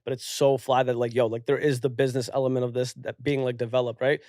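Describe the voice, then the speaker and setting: A man from Bangladesh hosting a podcast, talking excitedly in casual slang full of "like" and "yo". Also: mid-range voice, quick pace, no room sound, studio quality. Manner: excited, slangy, heavy "like" and "yo" fillers. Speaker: podcast host.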